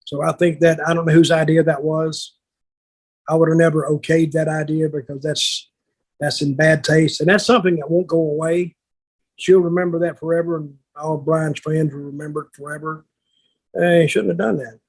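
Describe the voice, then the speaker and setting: An older man with a husky voice telling stories casually on a podcast, blunt and plainspoken, halting and repeating words. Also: on the high side, flat delivery, poor audio. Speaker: podcast co-host, wrestling veteran.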